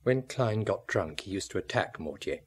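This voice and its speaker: A man's voice: fairly low pitch, expressive, normal speed, husky. An actor playing a former art student wearily recalling his youth in a radio drama. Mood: sombre. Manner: reminiscing, narrative. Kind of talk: old radio drama acting.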